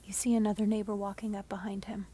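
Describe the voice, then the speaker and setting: A woman telling a scary story in a breathy, unvoiced ASMR whisper, close to the microphone. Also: mid-range voice, flat delivery, fast talker. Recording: soft noise, mic scratching. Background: scratching and layered sounds.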